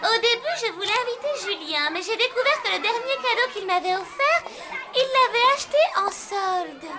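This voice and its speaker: A woman's voice: extremely high pitch, lively intonation, normal speed, very noisy. A woman voicing a snobbish, haughty popular young girl in a cartoon, speaking with disdain. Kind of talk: cartoon dub acting. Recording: loud music behind the voice.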